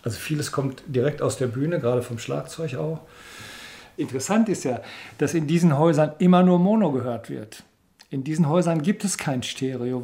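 A man's voice: on the high side, warm tone. A man from Dortmund speaking casually and colloquially as a sound engineer explaining his work in an interview. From Dortmund, Germany. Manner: colloquial, acts out quoted dialogue. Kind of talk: casual expert chat.

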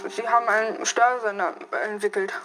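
A woman's voice: medium pitch, flat delivery, moderate pace, over loud music, close to an everyday voice, rough recording. A woman playing a dutiful young informant who reports plainly to a villain in a German children's radio drama.

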